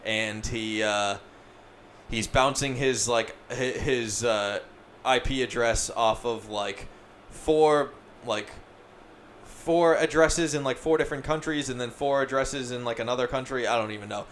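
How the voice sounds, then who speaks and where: A man's voice: mid-range voice, a little slow, fairly bright tone, a little hiss or hum. A man hosting a podcast in casual chat, rambling, swearing freely, with many fillers. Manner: profane, rambling, filler-heavy. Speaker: podcast host.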